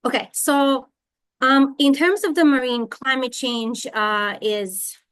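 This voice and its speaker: A woman's voice: sits high, fairly even intonation, slow, fairly bright tone, clear, resonant tone, dry recording. A woman from Serbia giving an online scientific lecture as a university lecturer, speaking with many fillers and restarts. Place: home in Thailand during monsoon rain. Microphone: video call.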